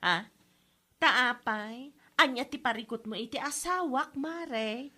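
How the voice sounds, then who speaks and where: A woman's voice: a little high, slow, pushed and bright, exaggerated voice. A woman with an Ilocano accent playing a cheeky, boastful, unapologetic wife in a comedy drama, smug and drawling.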